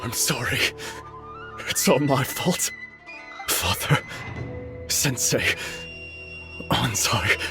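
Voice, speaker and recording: A man playing a guilt-ridden, terrified swordmaster in a video game, gravelly and halting as he pleads. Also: mid-range voice, animated, heavily acted. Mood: anguished, guilty. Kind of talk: dramatic video game dialogue. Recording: loud game music behind the voice.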